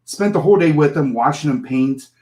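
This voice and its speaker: A man's voice: fairly high pitch, animated, fairly quick, a little mellow. A man in his forties, a comic creator guest on a podcast, telling a rambling, self-deprecating story and laughing often. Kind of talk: casual podcast interview.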